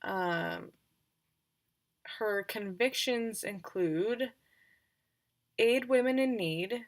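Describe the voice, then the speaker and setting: A woman game master talking casually and haltingly, thinking aloud as she recaps roleplaying characters on a livestream. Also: mid-range voice.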